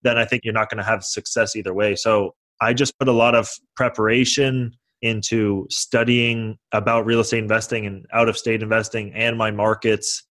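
A man's voice: on the low side, flat delivery, moderate pace, hardly any pauses, fairly bright tone. A young man from California answering interview questions confidently, explaining how he got started and acting out the conversations he had. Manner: confident, explanatory, acts out quoted dialogue. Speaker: guest real estate investor.

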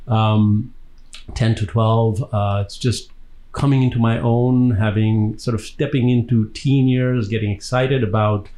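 A man in his sixties from Tanzania, an interview guest, telling personal stories conversationally with reflective, hesitant pauses. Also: on the low side, fairly even intonation, mellow. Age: 60s.

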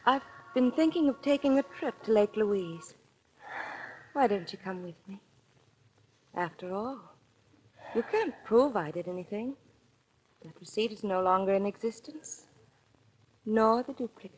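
An actress playing a scheming, smooth wealthy woman in a 1940s radio crime drama, poised and coaxing. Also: mid-range voice, animated, a little slow, long pauses, darkish tone, rough recording. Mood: coolly seductive. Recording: vintage 1940s radio recording.

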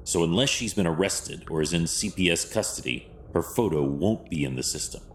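An actor playing a grim, stoic masked vigilante detective in an audio drama, speaking in clipped, formal, deadpan phrases. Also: male voice, sits low, fairly quick, slight background noise. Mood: analytical, stoic.

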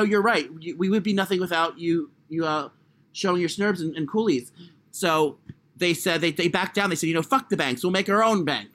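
A man with a high voice co-hosting a casual comedy podcast, crude and self-deprecating in his humour. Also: fairly bright tone. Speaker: podcast co-host. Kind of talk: casual comic chat.

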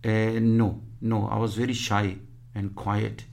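A man, being interviewed and speaking haltingly with many restarts; English is his third language. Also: fairly low pitch, little pitch movement, gravelly, darkish tone. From Pakistan. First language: Punjabi or other regional language; Urdu second, English third. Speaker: guest. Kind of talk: casual interview.

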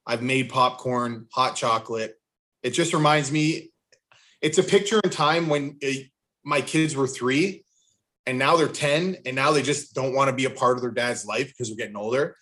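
A middle-aged man in casual panel conversation. He has a mid-pitched voice and talks informally, as a podcast panelist among other speakers. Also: normal speed, a bit bright.

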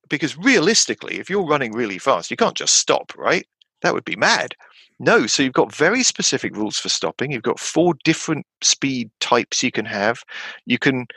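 Gravelly man in his fifties, rambling chat. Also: dry recording. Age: 50s. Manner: rambling with many fillers and restarts, animated jumps in pitch. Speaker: podcast guest. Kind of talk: casual chat about tabletop games.

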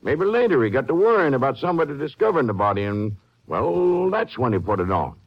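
An actor playing a practical, folksy small-town sheriff in a 1950s western radio drama. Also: male voice, medium pitch, sing-song, quick pace. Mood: insistent, puzzled. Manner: folksy, conversational. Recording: dull, lo-fi 1950s radio recording.

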